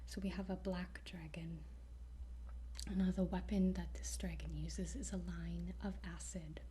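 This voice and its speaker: Slow whispering woman in ASMR roleplay. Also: on the low side, steady tone, a little hiss or hum. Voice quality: soft, breathy whisper. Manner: hesitant, pausing between short phrases. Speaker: ASMR roleplayer playing a dragon training guide.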